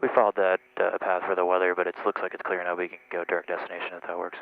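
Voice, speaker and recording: A man with a low voice and a lot of fry, piloting and vlogging his flight. He gives clipped checklist callouts and radio calls. The sound is a muffled headset with faint noise behind it. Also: very fast. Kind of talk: flight vlog cockpit talk and radio calls. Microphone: aviation headset. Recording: muffled aviation headset, steady cabin noise.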